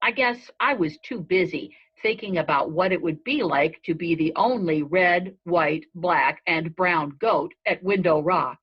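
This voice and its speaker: A woman narrates a children's storybook at a very unhurried pace. Her voice is rough-edged and husky, with deliberate phrasing. The recording sounds dull and lo-fi. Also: fairly low pitch. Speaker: storybook reader. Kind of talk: read-aloud narration of a children's story in a goat's first person.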